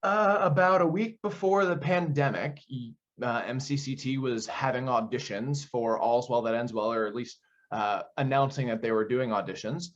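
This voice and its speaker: A man's voice: medium pitch, normal speed. A young American man giving earnest, explanatory answers in a conversational interview as a theater director and actor. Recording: dull, lo-fi audio.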